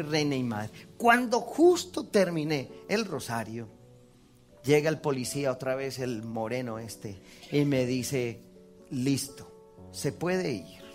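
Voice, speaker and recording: Colombian priest preaching a lively testimony. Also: male voice, a little high. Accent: Colombian Spanish. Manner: storytelling, acts out quoted voices, laughs at himself.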